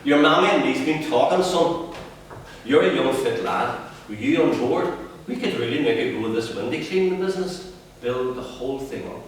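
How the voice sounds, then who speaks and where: A man's voice: medium pitch, very animated, normal speed, bright, forceful tone. A man playing a bitter, bullying father with harsh barks, heard from a distance in a very echoey room. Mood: coaxing. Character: bitter, raging working-class father. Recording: very echoey room, distant mic, faint hum.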